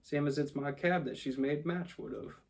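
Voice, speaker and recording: A man voicing a rough but kindly London cabby from a storybook, with a Cockney accent and dropped aitches. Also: on the high side, fairly even intonation, moderate pace, natural voice. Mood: indignant. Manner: dropped aitches, colloquial, coaxing. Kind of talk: storybook character voice.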